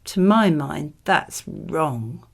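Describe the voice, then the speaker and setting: Low-pitched woman, measured scripted delivery. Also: animated, vocal fry, slightly rough. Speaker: model candidate reading sample exam answers. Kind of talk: scripted exam answer read aloud.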